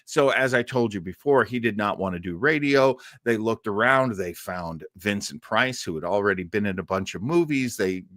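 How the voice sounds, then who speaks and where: A man hosting a live listening show, telling stories in a casual, informative way with emphatic phrasing and dramatic pauses. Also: lowish voice, animated, fairly bright tone, no echo, studio quality. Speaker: host of a live old-time radio listening show. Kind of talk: casual informative storytelling to an online audience.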